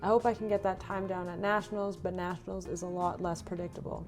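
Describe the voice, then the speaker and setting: A woman's voice: fairly low pitch, fairly even intonation, fast, faint music behind. A young woman from Canada, a runner, chatting casually in a vlog and reading out her own race notes. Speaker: runner recapping her racing season.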